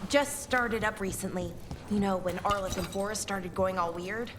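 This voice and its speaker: A woman's voice: mid-range voice, moderate pace, noisy background, natural voice. An actress playing a chatty, upbeat young woman adventurer in a video game, rambling and correcting herself as she talks. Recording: loud game music over the voice.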